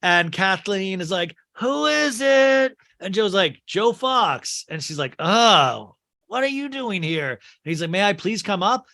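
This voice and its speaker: Man podcast host, fairly high and bright, voice worn and strained, retelling a film and mimicking its lines. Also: very animated, measured pace, vocal fry. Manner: acts out quotes in shifting put-on voices. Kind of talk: recapping a film scene by scene.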